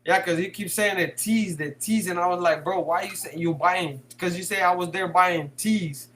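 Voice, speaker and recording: A man's voice: high voice, moderate pace, fairly bright tone, a little hiss or hum. Slangy Bay man on a stream. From San Francisco Bay Area. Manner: slangy, swears, laughs a lot. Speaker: live stream host. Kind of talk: casual live chat reading comments.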